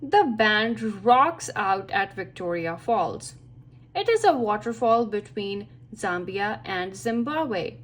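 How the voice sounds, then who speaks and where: A woman reading a children's picture book aloud as a storyteller, with expressive, deliberate phrasing for young listeners. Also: mid-range voice.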